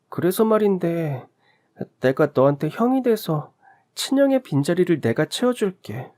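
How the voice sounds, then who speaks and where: A man's voice: sits high, darkish tone, pitched above the performer's own voice. A man voicing a lonely, polite young boy in a radio drama story, speaking earnestly and apologetically. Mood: hopeful.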